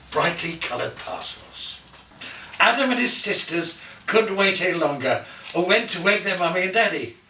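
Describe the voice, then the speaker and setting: A man reading a children's Christmas story aloud in an expressive, theatrical way, savouring lists and softening the ends of his phrases. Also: high-pitched. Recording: roomy echo, distant from the mic.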